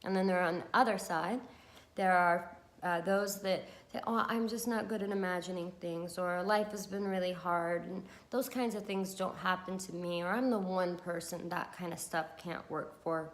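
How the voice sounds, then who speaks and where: A woman's voice: fairly low pitch, steady tone, normal speed. A woman with a congested voice from a cold, chatting casually and encouragingly to camera about wellness, with many fillers. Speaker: beauty vlogger and hypnotherapist.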